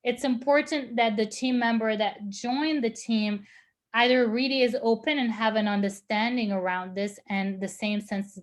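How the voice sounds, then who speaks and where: A woman speaks in a middling, ordinary pitch as a guest on a virtual panel. She answers questions thoughtfully, pausing to search for the right words, heard over a video call. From Lebanon.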